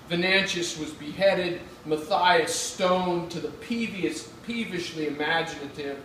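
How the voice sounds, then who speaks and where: An American man from Pittsburgh giving a measured, dramatic live reading of his own literary writing. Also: fairly high pitch, fairly bright tone. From Pittsburgh, United States. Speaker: writer reading own work. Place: indoor reading event. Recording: echoey room, far mic, faint noise.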